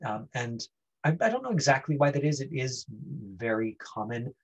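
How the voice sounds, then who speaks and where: A man teaching an online acting class informally, explaining in a halting, conversational way. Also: mid-range voice, very animated. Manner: halting, explanatory, frequent 'you know'. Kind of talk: informal lesson talk.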